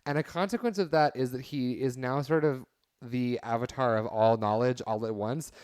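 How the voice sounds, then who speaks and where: A man's voice: medium pitch, moderate pace, darkish tone, clean tone, no echo, studio quality. A man telling comic stories casually in a wry, mock-serious, wordy way. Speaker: podcast panelist.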